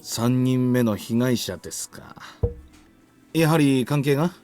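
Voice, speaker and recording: A man's voice: mid-range voice, moderate pace, darkish tone, faint music behind, natural voice. An actor playing a deferential, doubtful male police colleague in a Japanese visual novel.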